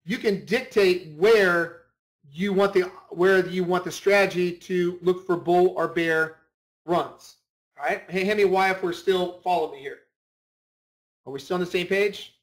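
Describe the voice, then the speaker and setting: A man's voice: high-pitched, a little slow, poor audio. A man leading an informal screen-share tutorial as a trading instructor, talking emphatically, repeating himself and checking that listeners follow.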